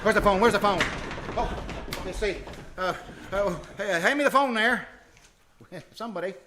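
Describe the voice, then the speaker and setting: A man playing an excitable, boastful, folksy small-town husband in a live old-time radio comedy, with a sputtering, blustery manner. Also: high voice, moderate pace, slight background noise. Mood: excited, flustered. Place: live stage performance at a venue.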